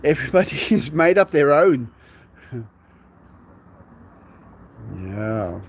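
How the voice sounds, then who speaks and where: A man's voice: medium pitch, sing-song, husky. A man with a South African Afrikaans accent, a native Afrikaans speaker, chatting casually on a live stream outdoors, musing as he answers viewers. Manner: musing, unhurried, reads viewer questions aloud and chuckles. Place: outdoors at sunset.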